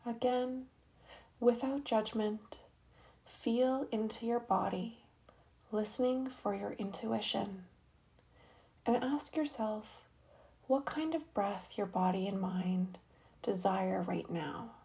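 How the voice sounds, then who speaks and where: A woman leads a guided relaxation, speaking very slowly in a soft, soothing manner with long, patient pauses. Her voice is mellow and warm at a middle pitch. The recording is a little dull and lo-fi. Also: fairly even intonation, vocal fry. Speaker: yoga nidra guide. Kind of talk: guided meditation.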